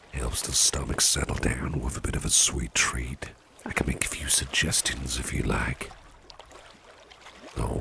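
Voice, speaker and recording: A man playing a genial, attentive boss in an ASMR roleplay, unhurried and coaxing, often repeating his phrases. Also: a bass voice, full character voice. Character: genial, attentive male boss, courting his dinner date. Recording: clearly noisy background.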